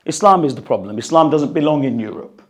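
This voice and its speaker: Guy with a croaky voice talking at a book event, handheld mic, earnest, coughs now and then. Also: a little high, animated, quick pace. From United Kingdom. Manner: earnest, reflective, slight coughs. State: croaky voice, recovering from losing it. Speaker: author at a book launch. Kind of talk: talk and reading from his book. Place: hall at a gathering, in front of an audience. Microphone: handheld microphone.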